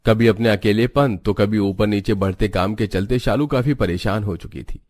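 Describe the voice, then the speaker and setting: A man reads a scripted cartoon story as its narrator. His voice sits on the low side, and he speaks as a storyteller. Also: moderate pace, a little mellow.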